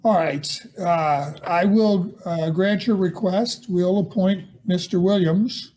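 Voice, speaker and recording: A man presiding as judge in a court hearing held over a video call, speaking in a measured, hesitant way with many fillers. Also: high voice, mellow, muffled recording.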